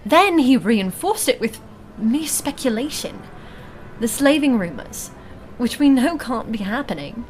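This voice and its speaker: A woman playing a wary, analytical adventurer in a fantasy audio drama, reasoning in a measured, formal manner. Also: fairly high pitch, sing-song, slight background noise. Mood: suspicious. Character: analytical, wary female adventurer, composed and suspicious. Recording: music behind the voice. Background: music.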